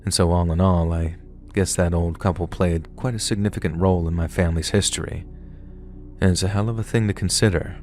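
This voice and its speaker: Deep-voiced man narrating horror stories. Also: steady tone, brisk, a little mellow, soft background music. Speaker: narrator reading viewer stories. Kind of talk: scripted horror story narration.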